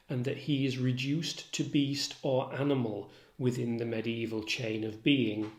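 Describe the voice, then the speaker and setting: A man lecturing as a literature tutor, measured, articulate and instructive in his delivery. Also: medium pitch, darkish tone. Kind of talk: literature lecture with recited quotations. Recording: roomy echo.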